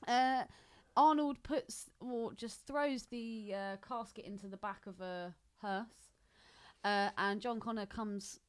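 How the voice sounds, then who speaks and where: A woman's voice: mid-range voice, takes it slowly, smooth. A woman bantering casually as a podcast co-host.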